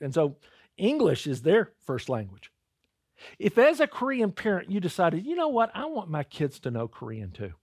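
A man's voice: on the high side, a little mellow, dry recording, clean studio sound. A male pastor giving a conversational sermon, calling his listeners "guys" and sometimes repeating words as he starts a thought.

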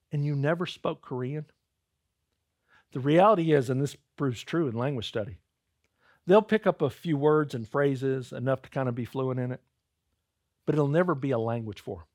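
A man's voice: medium pitch, moderate pace, pauses often, darkish tone, dry recording, studio quality. A male pastor giving a conversational sermon, calling his listeners "guys" and sometimes repeating words as he starts a thought.

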